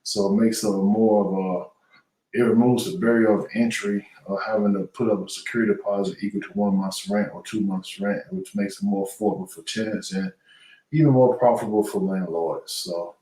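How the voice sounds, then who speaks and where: A man's voice: fairly low pitch, steady tone, normal speed, warm tone. An earnest middle-aged man talks through his answers with plenty of fillers and restarts. He sits back from the microphone, so his voice comes across distant. The room around him is echoey. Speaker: guest, retired boxer turned businessman. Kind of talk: conversational interview. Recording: echoey room audio, voice from far off the mic.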